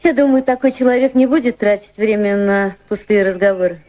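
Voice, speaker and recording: An actress playing a poised, gently teasing widow of about thirty in a Russian radio drama, speaking in a measured, reflective way. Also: female voice, mid-range voice, close to an everyday voice. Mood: reflective, confiding. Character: poised thirty-year-old widow of English high society, gently teasing. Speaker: actress and narrator in radio play.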